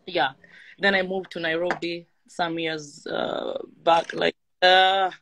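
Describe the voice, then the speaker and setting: A woman's voice: on the low side, little pitch movement, slow, fairly bright tone, poor audio. A young Kenyan woman with a Kenyan accent, a guest in a casual interview, loose and exclamatory in how she talks. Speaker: pageant founder, guest.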